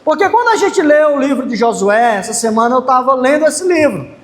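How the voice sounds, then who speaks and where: A man's voice: very high voice, lively intonation, fairly quick. A man preaching a sermon in a church, emphatic and often raising his voice on key phrases. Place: church hall. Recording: echoey church hall with long reverb.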